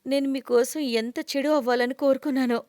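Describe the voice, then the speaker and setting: A woman's voice: high-pitched, fairly even intonation, fairly quick, darkish tone, no room sound, studio quality, close to an everyday voice. A woman voicing a repentant, earnest young daughter-in-law in a cartoon story, with an apologetic manner. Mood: remorseful.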